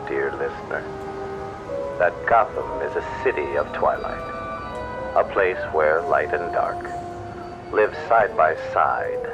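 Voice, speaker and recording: A man playing a brooding, philosophical late-night radio host, solemn and measured, pausing often. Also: rumbling low, lo-fi sound, exaggerated voice. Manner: slow, solemn, measured with long pauses. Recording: loud music under the voice.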